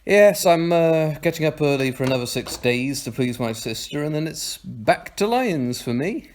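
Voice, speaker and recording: A man's voice: on the high side, animated, normal speed, lightly acted. Man playing a flippant young man, noisy recording. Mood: hesitant, polite. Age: young adult. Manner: hesitant, wordy, wry. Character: flippant, blunt young man, reluctant new club member. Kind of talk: audio drama acting. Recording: clearly noisy home recording with clicks.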